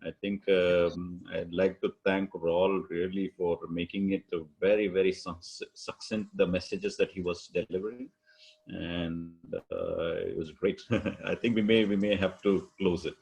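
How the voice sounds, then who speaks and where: A man co-hosting an online panel over a video call, speaking haltingly with many fillers. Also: sits low, flat delivery, darkish tone, breathy edge, low-quality recording. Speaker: co-host. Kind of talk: moderating an online session.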